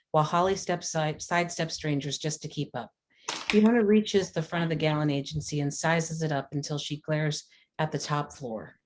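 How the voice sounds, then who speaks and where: A woman's voice: low-pitched, a little mellow. A woman narrating scripted stage directions for a screenplay at a table read.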